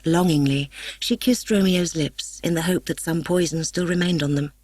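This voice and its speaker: A woman reading a story as an audiobook narrator, expressive and solemn. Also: on the low side, normal speed, no room sound. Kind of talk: dramatic scripted story narration. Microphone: close studio microphone, dry.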